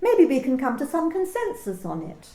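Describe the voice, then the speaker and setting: An amateur actress playing a formal, officious female workplace manager in a radio play, measured and precise. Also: sits high, wildly swooping pitch, warm tone, roomy sound.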